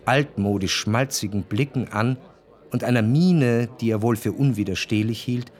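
An actor as the observant, quietly ironic first-person narrator of a literary radio drama, reading long scripted sentences. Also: male voice, mid-range voice, moderate pace, close to an everyday voice.

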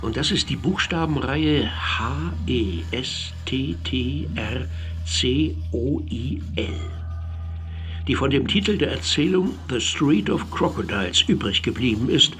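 A man playing a reflective radio drama narrator who tells his account in a measured, cryptic way. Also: mid-range voice, a bit bright, lightly acted. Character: reflective male narrator recounting evidence and memories. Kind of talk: scripted radio play narration.

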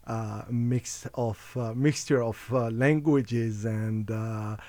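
A man's voice: mid-range voice, fairly even intonation, a little mellow, no room sound. A man from Algeria who grew up speaking Arabic and French, a radio guest talking casually with many fillers and restarts. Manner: halting, many fillers and restarts, laughs often.

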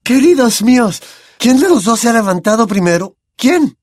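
A dreamy, high-voiced prophetess, put on by a male narrator, sounding distant and theatrical. Her pitch swoops all over the place, and the recording is close and dry. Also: sing-song, moderate pace, a little mellow, no room sound, heavily acted. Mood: lofty. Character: dreamy eccentric female seer, older.

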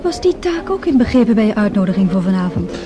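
A woman's voice: mid-range voice, big pitch swings, fast talker, lightly acted. A woman playing a teasing, level-headed dance partner in a radio drama, probing with her questions. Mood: probing, sceptical. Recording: loud music behind the voice.

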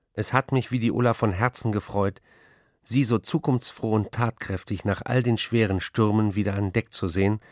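A man's voice: lowish voice, no room sound, studio quality, natural voice. An actor reading letters as a harried, wry postwar male writer in a radio drama.

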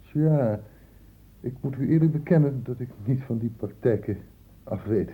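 An actor in a Dutch radio play voicing an earnest, insistent doctor who questions in a measured, formal way. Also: male voice, mid-range voice, husky, lightly acted. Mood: measured, reasoning. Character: earnest, insistent male doctor investigating an infection outbreak. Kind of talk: Dutch radio drama acting. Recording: muffled phone-like old radio recording.

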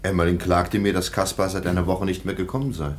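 A man's voice: sits low, little pitch movement, fast, natural voice. A man playing a well-mannered, concerned aristocratic baron in a radio play. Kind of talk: German radio play acting.

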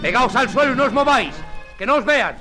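An actor playing a brave, cool-headed space commander, authoritative and decisive, in a 1950s radio serial. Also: male voice, extremely high pitch, lively intonation, quick pace, bright, forceful tone, exaggerated voice. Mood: urgent, commanding. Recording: vintage 1950s radio, music underneath.

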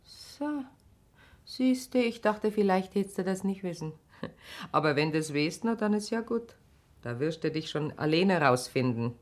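A woman's voice: lowish voice, expressive, measured pace, a little mellow. An actress playing a motherly, shrewd middle-aged wife in a radio play, coaxing and frank. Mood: probing. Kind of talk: naturalistic radio play acting.

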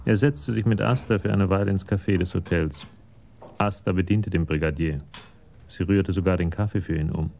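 Low, gravelly male narrator. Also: normal speed, darkish tone, slight background noise. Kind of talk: scripted narration of a radio crime drama.

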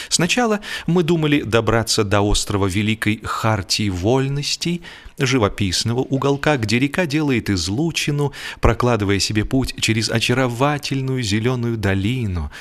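A man narrating humorous literary fiction as a scripted audiobook, in an expressive, mock-earnest storytelling manner. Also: mid-range voice.

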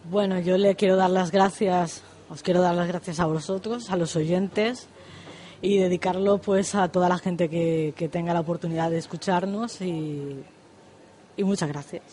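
A woman's voice: fairly low pitch, steady tone, normal speed, mellow. A woman poet speaking as a guest on a radio show, reciting verse and giving short replies. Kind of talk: poetry reading and brief interview replies.

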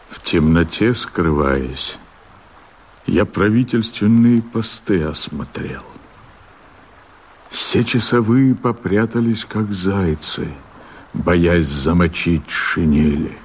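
A wild-hearted peasant rebel of the steppe in a radio play. His voice sits very low and rough with huskiness. He declaims verse with great slowness and weight. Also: a little mellow, full character voice. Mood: sly, eager. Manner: slow, weighty, poetic declamation. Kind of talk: theatrical radio drama verse.

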